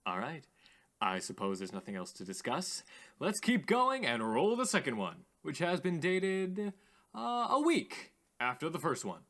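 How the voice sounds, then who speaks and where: A man playing a polished, eager radio host who presents mysterious tapes in a deliberate, showy manner. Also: on the high side, huge pitch swings, a bit bright, heavily acted. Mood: intrigued. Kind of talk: scripted radio show presenting.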